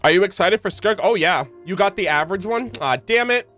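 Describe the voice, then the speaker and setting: A man's voice: high voice, expressive, brisk, fairly bright tone. A man giving casual, slangy live game-stream commentary and chatting to his viewers. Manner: slangy, informal. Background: game music.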